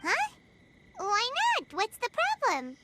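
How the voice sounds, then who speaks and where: A woman voicing a tiny excitable fairy girl companion in a video game, chirpy and exclaiming. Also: very high voice, slow, heavy background noise, full character voice. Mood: flustered. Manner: chirpy, exclaiming, talks of herself by name. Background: game music.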